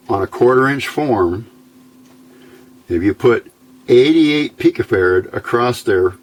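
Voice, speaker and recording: A man giving a casual hands-on electronics tutorial, halting and thinking aloud as he goes. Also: medium pitch. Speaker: electronics hobbyist video host.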